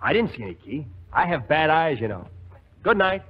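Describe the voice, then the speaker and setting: Lively cheeky Marine, dull old radio. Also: male voice, on the high side, normal speed, slightly rough. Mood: feigned innocence. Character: cheeky sleepy male Marine sergeant. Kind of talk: 1940s radio comedy acting. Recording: dull, faintly noisy 1940s radio recording.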